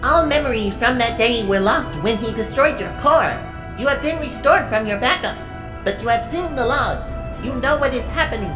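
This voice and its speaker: A woman's voice: on the high side, animated, moderate pace, reverberant, over music, lightly acted, rough recording. A woman playing a helpful, dutiful robot assistant who reports in a precise, formal way in an audio drama. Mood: earnest.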